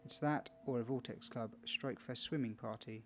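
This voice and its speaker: A man's voice: mid-range voice, steady tone, normal speed, gravelly, a bit bright, slight background noise. A man playing a video game for viewers, casually reading its text aloud with small stumbles and chatty asides. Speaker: video game let's player.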